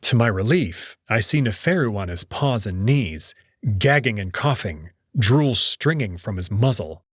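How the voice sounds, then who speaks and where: A man's voice: medium pitch, husky, no echo, studio quality. A man narrating a scripted story in the first person, in a dramatic reading style.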